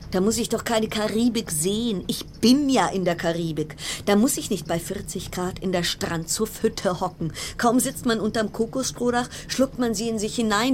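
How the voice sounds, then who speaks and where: A woman's voice: medium pitch, a little mellow, slight background noise, natural voice. An actress playing an uneasy, wry woman confined aboard a ship, musing in fragments in a radio play monologue.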